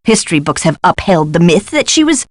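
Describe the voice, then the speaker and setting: A woman's voice: fairly low pitch, sing-song, brisk, dry recording. An actress playing an eccentric, fussy female scholar in a video game, with a dramatic, flowery and emphatic delivery. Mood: earnest, dramatic.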